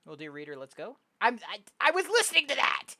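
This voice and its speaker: Animated, bright-voiced young streamer reading aloud. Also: medium pitch, brisk, dry recording. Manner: animated, jokey, exclaims loudly. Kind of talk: casual let's play, reading dialogue aloud. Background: game music.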